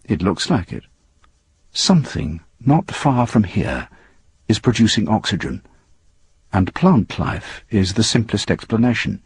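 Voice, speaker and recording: A man's voice: on the low side, sing-song, a little slow, breathy edge, dry recording, exaggerated voice. A man voicing a confident, impatient male scientist exploring space, with an assured, explanatory delivery in a story reading.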